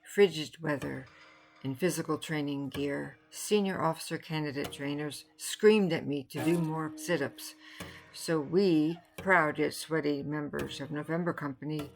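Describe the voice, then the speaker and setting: An older American woman reading spoken-word poetry in measured, short phrases. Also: deep voice, poor audio. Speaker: poet reading own work. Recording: music behind the voice. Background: music.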